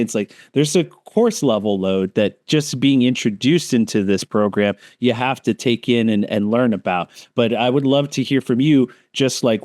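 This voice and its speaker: A man's voice: mid-range voice, darkish tone, no room sound, studio quality. A man hosting a podcast interview, asking long, rambling questions. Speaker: podcast host. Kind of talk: casual interview. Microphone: remote call.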